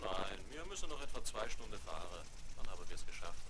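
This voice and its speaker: A man's voice: lowish voice, sing-song, fairly quick. A man playing a kindly middle-aged, well-to-do father in a 1970s German children's radio play. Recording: noisy 1970s vinyl record rip.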